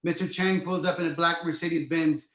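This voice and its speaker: Guy reading script action lines, voice a bit high, lots of room echo. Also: steady tone, moderate pace, fairly bright tone. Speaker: narrator reading stage directions. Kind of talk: screenplay table read narration, present tense.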